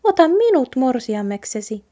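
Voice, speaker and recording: Woman voicing a small, high-pitched brisk mouse. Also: sing-song, mellow. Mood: brisk, confident. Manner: brisk, breezy, unworried. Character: small brisk cheerful female mouse, reassuring would-be bride.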